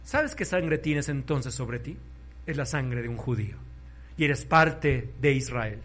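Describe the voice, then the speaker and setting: A man preaching a sermon, fervent, swinging between soft and loud, urging listeners to repeat after him. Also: mid-range voice, lively intonation, measured pace.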